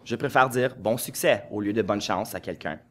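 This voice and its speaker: A man's voice: lowish voice, moderate pace, fairly bright tone. A young Franco-Manitoban man delivering scripted film lines in a dry, wry way. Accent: Franco-Manitoban French. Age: young adult.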